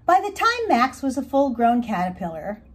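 A woman reading a children's story aloud as its author, expressive and emphatic, drawing out words. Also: on the high side, husky. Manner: animated, emphatic, drawn-out words.

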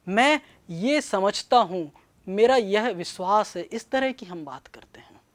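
Slow, animated middle-aged male teacher. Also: sits high. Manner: measured, explanatory, with gestures. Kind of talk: scripted classroom lecture. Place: studio with backdrop. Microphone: lapel mic.

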